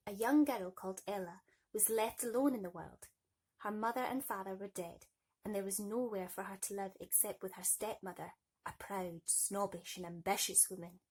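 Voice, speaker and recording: A woman's voice: medium pitch, breathy edge. A woman reading children's bedtime stories expressively, with playful, nurturing asides to young listeners. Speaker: bedtime story reader.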